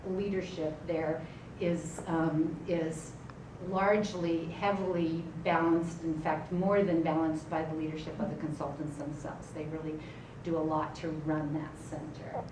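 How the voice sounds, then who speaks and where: Brief: woman writing center director, relaxed panel talk, lots of ums, distant and roomy. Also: fairly low pitch. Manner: relaxed, many ums and restarts. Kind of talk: conference panel presentation. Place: conference room. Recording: echoey room, distant mic, background noise.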